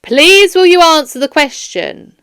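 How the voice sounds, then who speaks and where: A woman's voice: extremely high pitch, lively intonation, fairly bright tone, dry recording, clean studio sound, voice pushed up, full character voice. A woman voicing a firm, formal police detective questioning a suspect in a children's audiobook. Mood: insistent. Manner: formal, measured, stern. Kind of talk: children's audiobook character dialogue.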